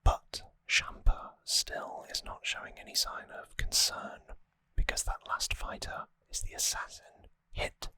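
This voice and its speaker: Casting: ASMR host for trivia recaps, fully whispered, crisp and bright, hesitant phrasing, close on the mic. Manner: unhurried whispering with hesitant pauses between short phrases. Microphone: close microphone.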